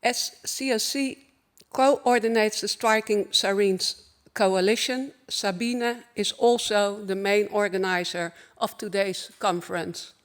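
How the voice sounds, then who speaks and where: A woman with a Dutch accent giving a formal opening keynote at a conference, earnest and measured, in short phrases. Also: medium pitch. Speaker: conference speaker.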